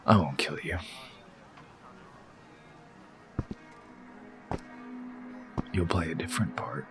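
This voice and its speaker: An actor playing a brooding, wounded rebel killer in an audio drama, persuasive and menacing, intense and often dropping toward a whisper. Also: male voice, rumbling low, fast, music in the background. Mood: cold menace.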